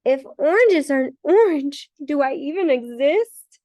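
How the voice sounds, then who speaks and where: A woman voicing small, excitable talking crayons in a children's storybook, exclamatory and melodramatic. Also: sits high, measured pace, dry recording, clean studio sound. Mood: dismayed.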